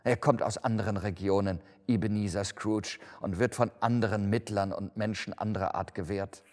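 A man's voice: fairly low pitch, steady tone, moderate pace, darkish tone, natural voice. A man voicing a stern, doomed male ghost in chains for a storybook, solemn, declamatory and lamenting. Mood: anguished. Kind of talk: dramatic storybook reading. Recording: long hall-like reverb.

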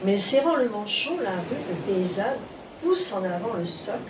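A woman reciting epic poetry in a solemn, measured declamation, phrase by phrase. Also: medium pitch, expressive. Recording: roomy, distant microphone, clear background noise.

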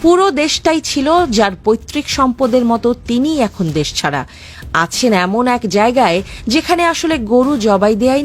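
A woman with a Bangladeshi accent reading scripted satirical narration in a sardonic storytelling manner. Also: fairly high pitch. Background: music.

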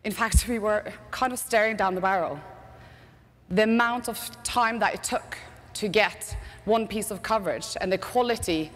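Norwegian woman, British-tinged accent, lively keynote speaker, headset mic, big reverberant hall. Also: mid-range voice, moderate pace. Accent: Norwegian with British English mix. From Norway. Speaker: conference speaker, agency CEO. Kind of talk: keynote presentation. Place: large conference stage. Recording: long reverb of a large hall.

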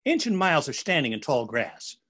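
A man narrating a children's storybook in a playful, expressive, scripted style. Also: on the high side, fairly quick.

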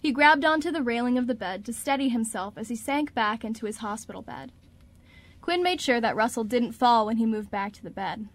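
A woman's voice: fairly high pitch, fairly quick. A woman narrating a scripted story for a radio drama.

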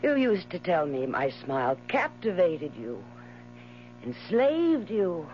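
An actress in a 1970s radio drama playing a bitter, mocking dying wife with scornful, drawn-out theatrical phrasing. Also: female voice, fairly low pitch, big pitch swings. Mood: menacing, taunting. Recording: muffled 1970s radio recording with hum.

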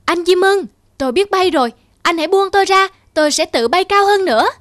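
A woman voicing a reckless, eager young turtle in a cartoon fable, insistent and pleading, with loud music behind her. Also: very high-pitched, animated, fairly quick, no echo, clean studio sound. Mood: impatient, pleading.

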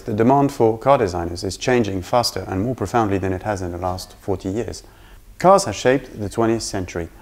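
A man's voice: lowish voice, a little mellow. A man in his forties giving a scripted promotional presentation to camera as head of a design department. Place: indoor room with bookshelves. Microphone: lapel mic.

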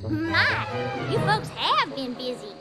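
A woman's voice: sits high, very animated, takes it slowly, full character voice. A woman voicing a plucky, feisty squirrel in a cartoon video game, folksy, with a Southern US accent. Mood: cheerful, folksy. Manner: folksy, spirited. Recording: loud music behind.

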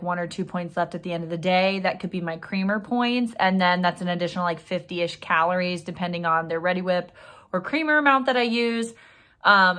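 A woman's voice: medium pitch, fairly bright tone. A young woman chatting casually to viewers in an upbeat vlog, talking while she eats in her home kitchen. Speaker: vlogger.

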